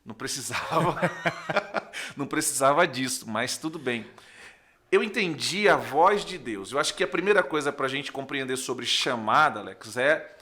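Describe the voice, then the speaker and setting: Bright-voiced northeastern Brazilian man telling his testimony. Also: medium pitch, normal speed. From Recife, northeastern Brazil. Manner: animated storyteller, acts out dialogue, often checks with "né". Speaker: pastor, podcast guest. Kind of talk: conversational personal testimony.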